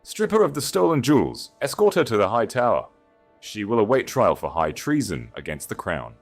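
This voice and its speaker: A man voicing a solemn, commanding guard captain in a storybook, proclaiming formally and forcefully. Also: on the low side, sing-song, moderate pace, soft background music, pitched above the performer's own voice. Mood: stern, ordering.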